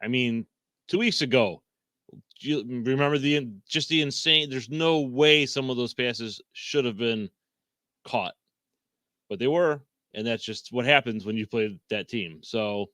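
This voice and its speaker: A man's voice: medium pitch, measured pace, a bit bright, no room sound. A man on a sports talk panel, speaking hesitantly with many fillers and restarts. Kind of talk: casual sports talk show.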